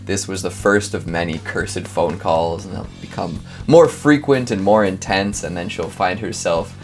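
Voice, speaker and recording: A man hosting a casual comedic reaction show, talking in an animated, wry, rambling way with sudden exclamations. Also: sits low, normal speed, soft background music. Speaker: host reacting to scary clips.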